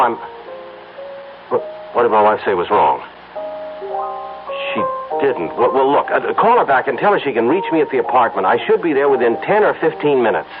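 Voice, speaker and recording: A man playing a flustered married businessman who stammers and rushes, his pitch swinging lively up and down. It comes through a dull, muffled vintage radio broadcast with music under it. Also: natural voice. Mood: hurried. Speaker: lead in a radio drama. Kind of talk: 1970s radio drama acting.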